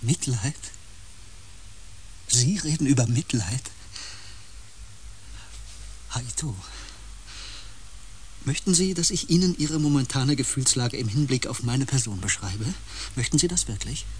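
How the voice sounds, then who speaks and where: An actor playing a proud, hostile outcast empath in a radio drama, formal in wording, cutting and defiant in manner. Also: male voice, mid-range voice, measured pace, long pauses, darkish tone, a little hiss or hum, lightly acted. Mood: cutting, challenging.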